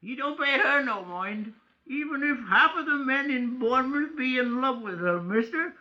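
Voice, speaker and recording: A dull old radio recording of an ancient village gossip, extremely high in pitch. He has a West Country accent and rambles cheekily in rustic speech, in the manner of 1950s radio drama. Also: lively intonation, normal speed. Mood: cheeky, gossipy. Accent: English West Country.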